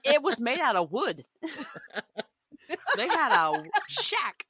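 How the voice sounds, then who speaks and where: A woman's voice: high voice, huge pitch swings, takes it slowly, pushed and bright, slightly rough, no room sound, clean studio sound. A woman co-hosting a casual podcast discussion about books, speaking in an articulate, wryly amused way. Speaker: podcast co-host.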